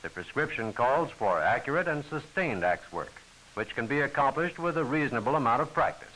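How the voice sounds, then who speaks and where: A man's voice: mid-range voice, lively intonation, brisk, pushed and bright, low-quality recording. A man giving formal, instructional scripted narration for a training film, on an old film soundtrack.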